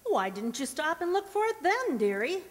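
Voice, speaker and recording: A woman playing a patient, affectionate, gently teasing wife in an old-time radio comedy. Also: very high-pitched, sing-song, normal speed. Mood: coaxing, wry. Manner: coaxing, endearments, gently wry. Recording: echoey hall, long reverb.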